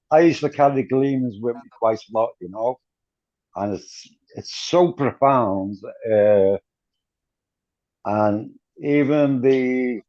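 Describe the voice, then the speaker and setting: A man with a Liverpool accent, lower-pitched and unhurried, musing aloud in an online study group. His voice comes through a dry, muffled video-call line with no room sound at all. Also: no room sound. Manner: warm reflective, trailing off with "you know". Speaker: participant asking a question. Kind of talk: casual remark in an online class.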